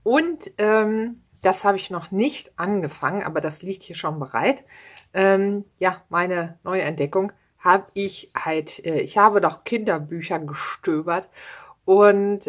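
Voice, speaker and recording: Book vlogger, woman in her thirties, unhurried and rambling, lots of "ne?" and "ähm". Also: fairly low pitch. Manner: chatty, many fillers and tag questions, self-deprecating laughs. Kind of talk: casual book chat. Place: home room with bookshelves, indoors.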